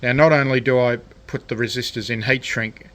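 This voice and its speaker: A man talks through a hobby project in a casual, unscripted way. His delivery is halting and hesitant, with frequent pauses, at a medium pitch. He sounds like an amateur presenter showing how something is done. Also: steady tone, fairly bright tone. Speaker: hobbyist presenter of a DIY electronics video. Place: indoors at a cluttered radio workbench.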